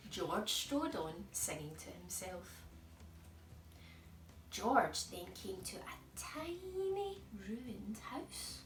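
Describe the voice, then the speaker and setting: Slow, animated woman reading a children's story. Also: medium pitch. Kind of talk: animated picture-book narration for young children. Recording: echoey room, distant mic.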